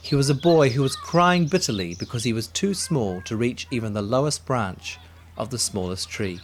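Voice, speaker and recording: A man narrating a children's story in an expressive, dramatic way, with music behind him. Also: medium pitch, moderate pace, slight background noise.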